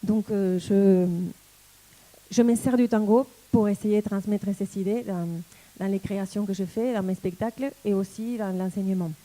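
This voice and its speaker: A woman from Argentina in her forties with an Argentine accent, a tango dancer and teacher, speaking in a thoughtful, halting way as she searches for words. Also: medium pitch, fairly even intonation, dark tone, rough recording. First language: Spanish. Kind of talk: personal reflective talk.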